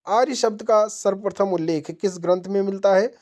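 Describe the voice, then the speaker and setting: A woman's voice: medium pitch, normal speed, a little mellow. A woman narrating a question-and-answer quiz lesson as an exam-prep teacher, methodically posing each question and then answering it.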